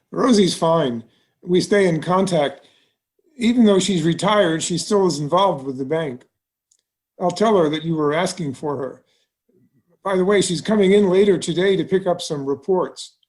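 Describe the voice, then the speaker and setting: A man playing a genial, seasoned small-town bank president in a musical theatre table read, speaking in a courteous, measured way. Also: sits high.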